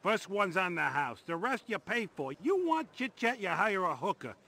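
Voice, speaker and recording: A man's voice: high voice, very animated, normal speed, pushed and bright, exaggerated voice. A man voicing a curt, unfriendly bartender in a video game. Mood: surly. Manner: gruff, curt. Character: surly, unfriendly male bartender.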